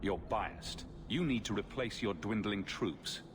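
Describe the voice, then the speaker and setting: A fairly low male voice plays a steady fantasy hero, performed by an actor in a game cutscene. He is dry, direct and brief, with faint noise behind him. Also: unhurried, lightly acted. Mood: challenging. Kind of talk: video game cutscene acting.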